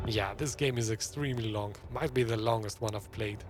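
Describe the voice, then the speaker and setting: A man's voice: lowish voice, a little fast, over music. A man giving casual, chatty live playthrough commentary as a gaming streamer, reacting to the game as he goes. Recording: game ambience behind the voice. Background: video game ambience.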